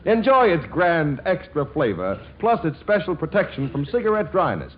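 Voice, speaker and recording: A male announcer from 1940s radio with a theatrical, comic salesman's delivery. His pitch rises and falls dramatically with mock boastfulness. The recording is dull and vintage. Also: big pitch swings, moderate pace, a little hiss or hum.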